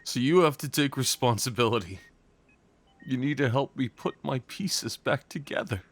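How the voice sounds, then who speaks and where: A man playing a devoted, desperate crime boss at his lover's bedside in an intimate audio roleplay, speaking in a halting, emotional way. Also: mid-range voice, expressive. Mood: broken, pleading. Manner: slow, halting, emotional, pleading delivery.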